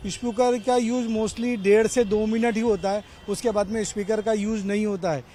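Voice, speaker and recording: Male cleric, Indian accent, high voice, formal and courteous, interviewed with faint traffic around. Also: steady tone, normal speed, mellow. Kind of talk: street interview statement. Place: outdoors on a city street. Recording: outdoor street, faint traffic noise.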